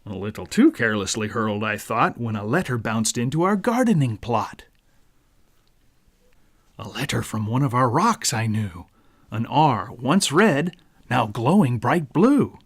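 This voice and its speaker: A man narrating a rhyming children's story as its author, in a dramatic, deliberate storyteller's manner. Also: mid-range voice, very animated, breathy edge. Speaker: author reading own book.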